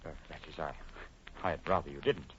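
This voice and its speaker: A man's voice: a bass voice, moderate pace. A man acting an uneasy, secretive French expatriate in old radio horror, speaking formally and dramatically, faltering when pressed. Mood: evasive, nervous. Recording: muffled old radio broadcast, faint hiss.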